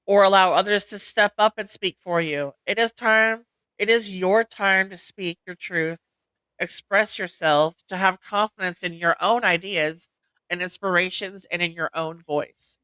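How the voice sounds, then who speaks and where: Bright, clear-voiced woman reading oracle cards. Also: mid-range voice, measured pace, no room sound, poor audio. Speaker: oracle card reader and host. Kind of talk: reading oracle card meanings aloud.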